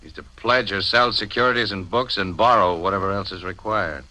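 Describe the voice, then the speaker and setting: A man's voice: low voice, fairly quick, sharp tone, dry recording, poor audio. An American man playing a breezy, slangy crime photographer in an old radio drama. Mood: wry, breezy. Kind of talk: 1950 radio acting. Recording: old 1950 radio recording with music.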